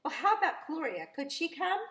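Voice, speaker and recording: A woman's voice: extremely high pitch, sing-song, a little fast, fairly bright tone, pitched above the performer's own voice, heavily acted. Woman reading as a lilting, coaxing school principal. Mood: polite, coaxing. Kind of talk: storybook character in read-aloud. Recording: roomy, echoing sound.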